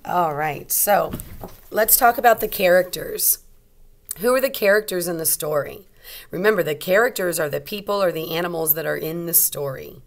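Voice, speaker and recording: A woman teacher reading a storybook aloud to young children, expressively, pausing to explain and ask simple questions. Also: lowish voice.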